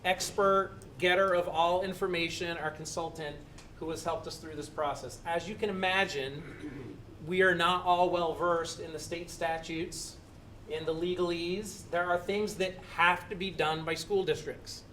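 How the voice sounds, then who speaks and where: A man's voice: a little high, fairly even intonation, normal speed, sharp tone, far from the mic, slight background noise. Earnest middle-aged man addressing a meeting. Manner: earnest, thankful, a little rambling with restarts. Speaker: committee member presenting. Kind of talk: public meeting presentation. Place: school building meeting room.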